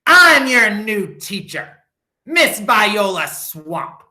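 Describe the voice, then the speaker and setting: A man's voice: high voice, big pitch swings, extremely slow, sharp tone, voice pushed up, heavily acted. A man voicing a wicked, strict woman substitute teacher who snaps and hisses her commands in a storybook reading. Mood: snappish. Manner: snapping, hissing, commanding.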